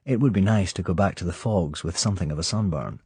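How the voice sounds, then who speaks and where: A man playing a polite, chummy English gentleman spy in an audiobook, affable and casual. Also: low-pitched, fast talker, breathy edge, no echo, heavily acted.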